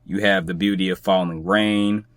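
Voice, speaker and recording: A man with a lowish voice talks as a guest on a podcast. His delivery is casual and conversational. The recording is very dry, without room sound. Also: steady tone, normal speed, dry recording.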